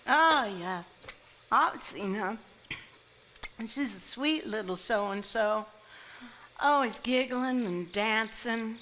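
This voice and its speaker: A woman's voice: fairly high pitch, takes it slowly, pauses often, pushed and bright. An actress playing a folksy, sly and guarded country woman in a live stage drama, with a Southern US accent. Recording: live stage recording, hiss and night insects.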